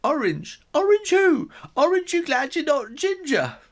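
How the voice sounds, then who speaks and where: A man voicing a small, timid boy kitten in a squeaky, plaintive childlike voice for a children's story. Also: huge pitch swings, unhurried, full character voice.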